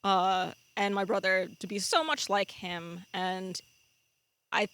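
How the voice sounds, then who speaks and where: Bright-voiced woman chatting on a podcast. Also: mid-range voice, steady tone, slow pace. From New Jersey, United States. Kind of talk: casual podcast conversation.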